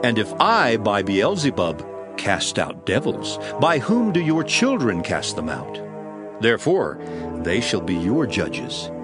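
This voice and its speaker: A man playing a grave, authoritative teacher who preaches in measured, solemn oratory for a dramatized scripture reading. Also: on the low side, wildly swooping pitch, over music, full character voice. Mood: solemn warning.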